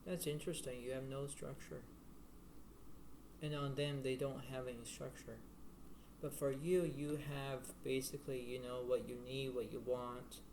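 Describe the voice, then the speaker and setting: A man giving a casual tarot reading to the viewer in a halting, repetitive, rambling way. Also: level tone. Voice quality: low-volume voice. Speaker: tarot card reader. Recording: noisy homemade recording with room ambience.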